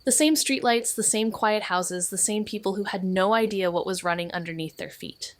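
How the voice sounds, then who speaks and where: A woman playing a measured, brooding amateur sleuth in a noir audio drama, with a dry, understated delivery. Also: medium pitch, no room sound, natural voice. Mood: brooding narration.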